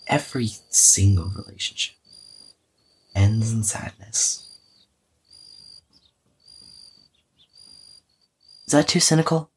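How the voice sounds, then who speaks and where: A man's voice: medium pitch, a little hiss or hum, lightly acted, rough recording. An actor playing a weary, self-effacing young man who hesitantly records a wry, cynical audio diary in a drama podcast. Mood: bitter, brooding. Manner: hesitant, musing, wryly cynical.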